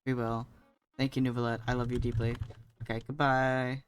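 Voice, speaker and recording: A woman's voice: very deep voice, level tone, moderate pace, soft background music. A woman streamer giving casual live reactions while playing a video game.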